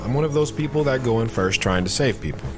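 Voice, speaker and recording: A man's voice: medium pitch, animated, fast talker, natural voice. An actor playing a protective soldier father who talks patiently and earnestly to his daughter in an audio drama. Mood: patient, serious. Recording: music behind the voice.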